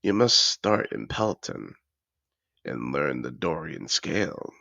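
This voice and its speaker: A man playing a cultured, possessive dragon disguised as a human, effusive and refined, in a gravelly-voiced audio roleplay addressed to the listener. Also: very deep voice, very animated, slow pace, sharp tone, no echo, exaggerated voice. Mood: excited, urging. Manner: refined, effusive, theatrical phrasing.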